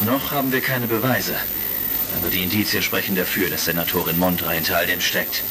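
A calm space commander reasons in a deliberate, creaky voice. It is a retro sci-fi radio play, heard a little distant on a crackling old recording. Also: male voice, sits low. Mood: determined. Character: heroic space commander, calm, analytical investigator. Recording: crackly vintage vinyl-style recording, distant.

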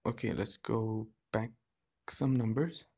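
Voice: mid-range voice, fairly even intonation, takes it slowly, warm tone. A podcast host chatting casually to viewers in a vlog.

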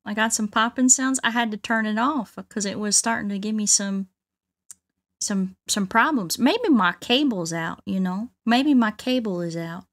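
A woman's voice: medium pitch, normal speed. Girl streamer doing a southern country bumpkin drawl, chatting to viewers, folksy and exclamatory. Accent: put-on Southern US drawl. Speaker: livestream host playing a video game. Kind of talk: casual livestream chat with viewers. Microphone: close streaming microphone.

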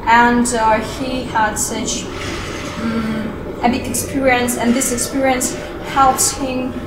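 Woman translating, lots of uh's, echoey hall w loud background. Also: on the high side, little pitch movement, takes it slowly, very noisy. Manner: hesitant, searching for words with many fillers. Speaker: interpreter. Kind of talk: live interpreting.

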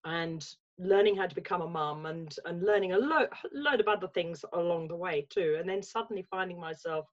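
A woman's voice: fairly low pitch, a little fast. English woman, reflective, dull call audio. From England. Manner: reflective, many fillers and restarts. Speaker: guest, life coach. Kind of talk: casual video-call interview. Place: home room with bookshelves. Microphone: laptop webcam mic on video call.

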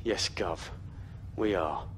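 An actor playing a hot-headed police detective in a crime game, blunt and defiant. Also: male voice, low voice, slow, fairly bright tone, slight background noise. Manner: blunt, insubordinate.

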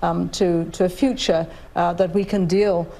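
A middle-aged woman from Nigeria answering questions in a live TV interview, earnest and measured, with frequent fillers and restarts. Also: fairly low pitch, fairly even intonation, warm tone. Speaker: guest, UN official. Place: studio, indoors.

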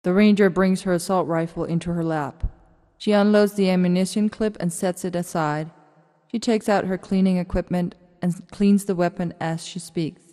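A woman's voice: on the low side, steady tone, moderate pace, dark tone. A woman reading scripted stage directions as the narrator of a radio drama. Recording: long hall reverb.

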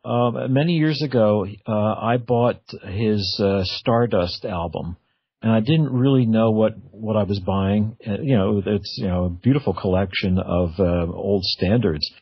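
A middle-aged man hosting a radio interview, chatting casually in a halting, reflective way. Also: on the low side, husky. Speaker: radio show host. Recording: dull, lo-fi radio audio.

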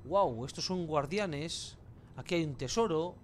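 A man's voice: fairly high pitch, lively intonation, measured pace, slight background noise. A man with an accent from Spain giving casual, colloquial gameplay commentary with mild swearing. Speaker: gamer, let's play host.

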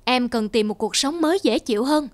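A woman playing a caring, quietly resolute wife in a cartoon drama, gentle and measured. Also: on the high side, background noise, close to an everyday voice. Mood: sad, resolute.

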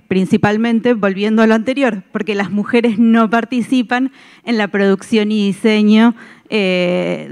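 A woman's voice: mid-range voice, clear, resonant tone. A woman in her thirties giving a panel presentation on a conference stage into a handheld microphone, summarizing her points steadily.

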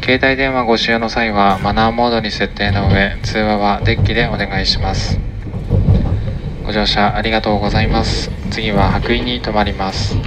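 A low-pitched man speaks as a train conductor over the onboard PA. His announcements are formal and polite, with small hesitations. Loud music and vehicle rumble sit with the voice. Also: little pitch movement, moderate pace, poor audio.

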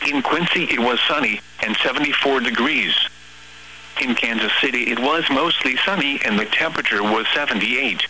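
A synthetic male text-to-speech voice reading weather radio forecasts and observations in a measured, formal, impersonal way. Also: medium pitch, pushed and bright, poor audio. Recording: weather radio broadcast with hum and static.

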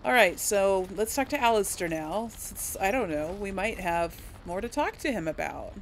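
A woman's voice: medium pitch, lively intonation, moderate pace, a little hiss or hum, low-quality recording. A woman hosting a casual role-play let's play, chatting to her audience as she plays. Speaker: host of a role-play game series.

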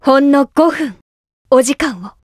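An earnest young legal assistant for a game, speaking very formally and politely. Her voice is very high and lively. The studio sound is dry. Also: takes it slowly, dry recording. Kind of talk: game voice clip.

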